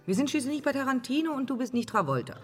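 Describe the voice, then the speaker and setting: A woman acting in a German radio drama as a wry, streetwise woman who teases in a deadpan, colloquial way. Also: high-pitched, racing, in a large hall, faint music behind. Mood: mocking. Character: wry, streetwise woman, mocking but tolerant.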